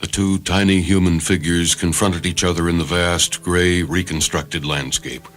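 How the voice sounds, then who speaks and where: A man's voice: low-pitched, steady tone, moderate pace, fairly bright tone. A man reading a literary short story as narrator, with dramatic scripted delivery. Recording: faint music behind the narration.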